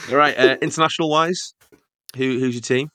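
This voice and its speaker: A man hosting a casual football podcast, asking questions and tossing in quick jokes. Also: medium pitch, steady tone, pushed and bright, no echo, clean studio sound. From Wales. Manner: quick, joking asides. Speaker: podcast host. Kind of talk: casual chat.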